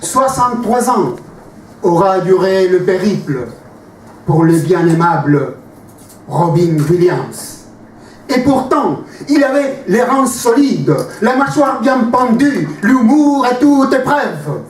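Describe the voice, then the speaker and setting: A man performing slam poetry at a live evening, declaiming in short, measured phrases. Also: high voice, big pitch swings. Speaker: slam poet. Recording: roomy, distant mic, faint noise.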